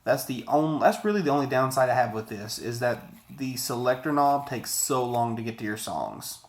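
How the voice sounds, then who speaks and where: A man's voice: medium pitch, expressive, moderate pace. An American man in his thirties gives a casual video product review in a conversational, rambling way, repeating words for emphasis, recorded in a small office. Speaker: audio gear reviewer. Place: small office room indoors.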